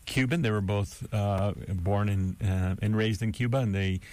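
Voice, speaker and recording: Halting, deep-voiced older man from Miami. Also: steady tone, darkish tone, no room sound. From Miami, Florida, USA. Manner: thoughtful, halting, many fillers. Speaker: college president, guest. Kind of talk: casual interview.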